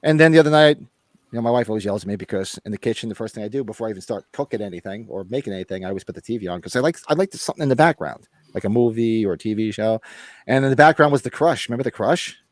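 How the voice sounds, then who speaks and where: American man, rapid rambling delivery, blunt and sweary, recovering voice, dry close sound, casual podcast host. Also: lowish voice, lively intonation, dry recording. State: recovering from a lost voice. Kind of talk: casual chat about horror movies.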